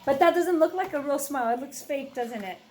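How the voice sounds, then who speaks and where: High, animated woman reading to children. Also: faint music behind. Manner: animated, slow, questioning asides to listeners. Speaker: read-aloud storyteller, teacher. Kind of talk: expressive children's picture book read-aloud with asides to children.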